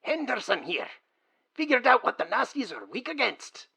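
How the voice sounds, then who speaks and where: A man voicing a reckless, bombastic old man from a tabletop game story, with a gravelly, raspy, forceful voice. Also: very high-pitched, wildly swooping pitch, normal speed, pitched above the performer's own voice, full character voice. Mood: gruff, triumphant. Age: older.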